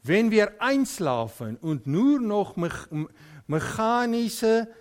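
A man preaching a sermon in German with an Afrikaans accent, Afrikaans being his first language, often pausing and searching for words. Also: high-pitched, big pitch swings, a little mellow.

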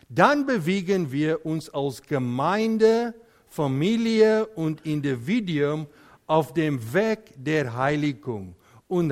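A man preaching a sermon in German with an Afrikaans accent, Afrikaans being his first language, often pausing and searching for words. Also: a little high.

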